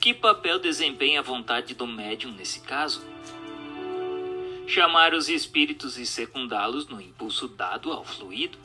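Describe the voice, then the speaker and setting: A man's voice: mid-range voice, expressive, measured pace. A man reads a scripted question-and-answer text in a formal, didactic manner. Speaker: narrator reading a spiritist book. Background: music.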